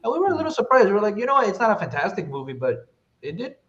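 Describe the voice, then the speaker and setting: Casual male podcast co-host, slightly high-pitched voice, muffled lo-fi audio. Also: sing-song, rapid-fire, fairly bright tone. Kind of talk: casual film review chat. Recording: dull lo-fi sound.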